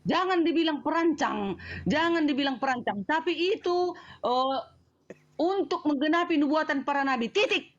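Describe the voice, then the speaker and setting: A woman's voice: high-pitched, expressive, normal speed, dry recording, dull audio. A woman in a heated religious debate, insisting on her answer and repeating it as she is challenged. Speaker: debate participant.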